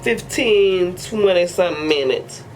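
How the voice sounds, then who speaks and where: A woman's voice: fairly low pitch, animated, unhurried, soft background music. Chatty woman joking through a hair tutorial. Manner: chatty, joking, talks to herself. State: sniffly head cold. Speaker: hair vlogger. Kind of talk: casual hair tutorial chat.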